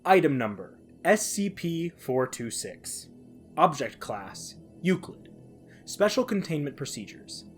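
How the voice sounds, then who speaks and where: Guy reading as a living toaster from a horror story, clinical and measured, faint music behind. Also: on the high side, sing-song. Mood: clinical, matter-of-fact. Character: sentient ordinary toaster describing itself as a clinical case file. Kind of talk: dramatic reading of scripted horror fiction.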